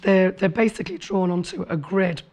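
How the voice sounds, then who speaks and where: A woman presenting a televised sewing demonstration with a hoarse, rough voice from a cold. She explains in a chatty, friendly way and apologizes for her voice. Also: lowish voice, fairly quick, a little mellow. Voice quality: rough, raspy voice. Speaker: craft show presenter. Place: TV studio set.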